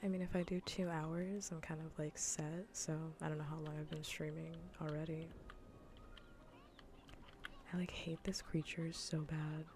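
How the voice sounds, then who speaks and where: Whispering, low-pitched woman streamer. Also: little pitch movement, measured pace, background noise. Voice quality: soft, breathy, mostly whispered. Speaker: livestreamer playing a game. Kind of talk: whispered ASMR chat while gaming. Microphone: close ASMR microphone.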